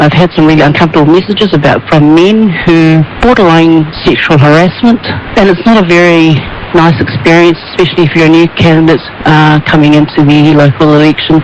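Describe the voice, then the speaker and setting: A woman gives a conversational interview answer, heard on shortwave radio. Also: low-pitched. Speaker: interviewee, local election candidate. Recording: muffled, hissy shortwave radio clip.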